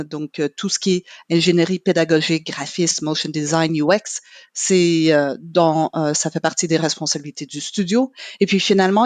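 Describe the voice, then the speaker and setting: A middle-aged woman whose first language is English, a podcast guest explaining her work in a measured way with many pauses. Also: lowish voice, no echo. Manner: measured, with frequent "euh" pauses. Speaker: guest, training marketing manager. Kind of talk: podcast interview, explaining at length.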